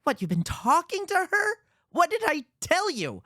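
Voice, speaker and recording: Animated no-nonsense security officer. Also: female voice, very high voice, normal speed, no room sound, studio quality, full character voice. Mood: exasperated, insistent. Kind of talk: sci-fi radio drama acting.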